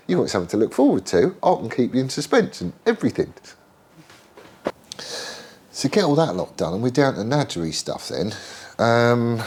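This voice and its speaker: A man's voice: fairly low pitch, a little mellow. A middle-aged man with a head cold, chatting casually and rambling through a workshop update with plenty of ums and local slang. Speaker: motorcycle builder and channel host. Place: home workshop.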